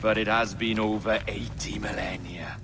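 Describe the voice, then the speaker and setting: Man acting a deep-voiced, grandiose pirate sage. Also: steady tone, lightly acted. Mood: smug, grand. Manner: eloquent, measured, theatrical, cryptic. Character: eerie, self-possessed, grandiose male pirate sage. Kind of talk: dramatic video game acting. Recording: noisy outdoor ambience behind the voice.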